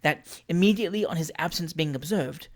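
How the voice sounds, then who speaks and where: A narrator acting out a rich lady of forty in a period storybook. She is cool and scheming, speaking quietly in a measured, dry, imperious way. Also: mid-range voice, natural voice.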